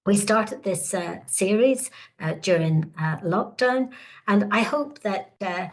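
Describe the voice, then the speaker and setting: A woman's voice: mid-range voice, expressive, slow. A woman in her sixties from Northern Ireland with a Northern Irish accent, hosting an online webinar in a hesitant, welcoming way full of fillers. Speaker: webinar host.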